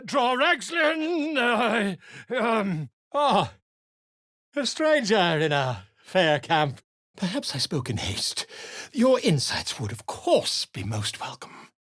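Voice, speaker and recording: Pompous, theatrical bard, wildly animated. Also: male voice, high-pitched, dry recording, clean studio sound, exaggerated voice. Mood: flustered, then grandiose. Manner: pompous, florid, effusive diction. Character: flamboyant, self-important male bard and author, theatrical and excitable. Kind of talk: fantasy video game voice acting.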